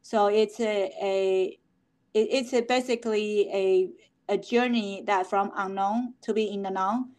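A middle-aged woman from Taiwan, answering interview questions as a company founder, halting with many fillers and restarts. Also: medium pitch, fairly even intonation, darkish tone.

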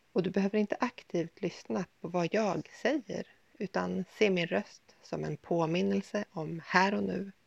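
A woman guiding a yoga nidra body scan in a soothing, gentle, unhurried manner with pauses. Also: lowish voice, vocal fry, dry recording, highs cut off. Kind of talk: guided meditation, body scan.

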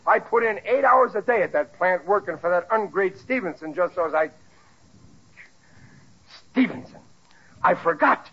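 A man's voice: high-pitched, expressive, moderate pace, long pauses, husky. An actor playing a stubborn, easily flustered working-class family man, in a 1940s radio sitcom. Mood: indignant. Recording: dull vintage radio recording.

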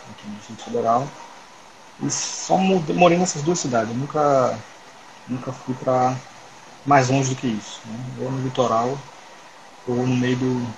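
A man, chatting as a guest surgeon in a relaxed interview over a video call. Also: mid-range voice. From Salvador, Bahia, Brazil. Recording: noisy home video call audio.

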